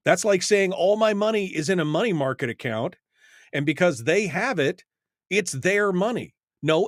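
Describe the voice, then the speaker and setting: A man's voice: sits high, dry recording, studio quality. An American man hosting an opinionated call-in radio show, speaking bluntly and emphatically and repeating points for stress. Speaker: radio talk show host.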